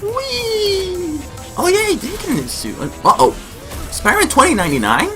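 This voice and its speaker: A man's voice: extremely high pitch, normal speed, music in the background. A man hosting an enthusiastic reaction and discussion vlog, exclaiming often and repeating himself. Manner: excitable, exclaiming, repetitive. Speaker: YouTube reaction host.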